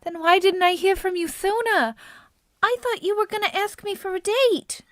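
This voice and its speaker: A woman's voice: very high voice, moderate pace, a bit bright, no room sound, studio quality. A woman playing a sweet, devoted young daughter in a vintage-style radio play. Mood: tender. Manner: sweet, pleading. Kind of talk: 1940s radio acting.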